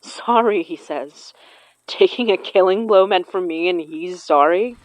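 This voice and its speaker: A woman's voice: on the low side, measured pace, no echo, close to an everyday voice, low-quality recording. A woman playing a determined, protective exorcist in a horror audio drama, earnest and blunt in manner. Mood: pained.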